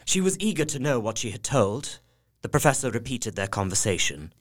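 A man's voice: medium pitch, big pitch swings, a little fast. An actor playing a devoted, anxious young husband narrating his journal in an audio drama, earnest and heartfelt.

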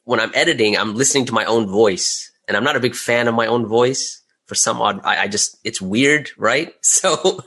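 A man's voice: medium pitch, moderate pace, hardly any pauses, fairly bright tone, husky. A middle-aged man talking casually as an interview guest, in a chatty way peppered with "you know" and "right?". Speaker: guest, audio drama creator.

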